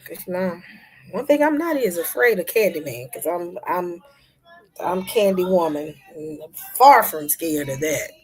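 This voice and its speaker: A woman hosting a casual livestream chat, talking to her viewers with soft whispery asides and sudden loud bursts. Her voice is husky, as if she is losing it. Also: on the low side, expressive, measured pace, rough recording. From Memphis, United States. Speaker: livestream host.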